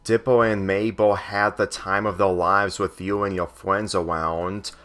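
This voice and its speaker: A cool, casual teenage girl from a story reading, played by a man in his low register. She is upbeat and protective. Music sits faintly underneath. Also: flat delivery, a little slow, a bit bright. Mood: casual, confident.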